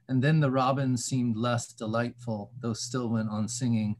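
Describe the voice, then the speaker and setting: A man's voice: mid-range voice, steady tone, moderate pace, darkish tone. A man giving a lyrical reading of a literary text. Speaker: reader. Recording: faint background ambient noise.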